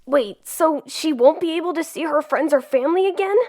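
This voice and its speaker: An eager young electric cub, voiced by a woman, speaks in a high, earnest voice and pushes impulsively to be part of everything. The fan comic dub keeps the delivery excitable and sincere. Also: a little fast, close to an everyday voice. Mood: pleading.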